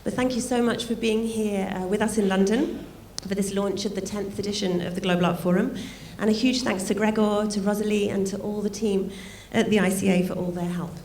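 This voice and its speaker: Woman, croaky gravelly voice, mellow tone, opening speech, roomy hall. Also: medium pitch, fairly even intonation, brisk, few pauses. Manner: thanks people warmly, with light asides. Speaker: art fair director opening a forum. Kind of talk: welcome speech. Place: event venue. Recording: roomy hall sound, faint noise.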